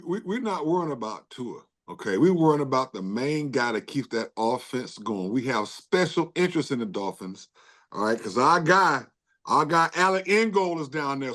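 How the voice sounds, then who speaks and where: Man, casual sports podcast co-host, somewhat high pitch, very animated delivery. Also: normal speed. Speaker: podcast co-host, former football player.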